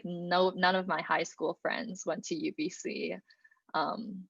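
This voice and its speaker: A woman's voice: lowish voice, creaky, a bit bright, no echo, dull audio. A young woman from Alberta, Canada, giving a student presentation on a video call in a casual way, with lots of "um" and "like". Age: young adult. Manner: casual, many fillers and "like". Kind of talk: online presentation talk. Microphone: computer mic on a video call.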